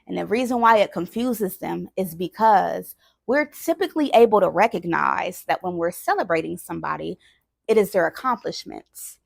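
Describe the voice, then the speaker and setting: A woman hosting an educational talk as an experienced teacher, speaking in an expressive, emphatic way. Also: lowish voice, normal speed, vocal fry, no room sound, clean studio sound. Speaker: host and experienced early-years educator.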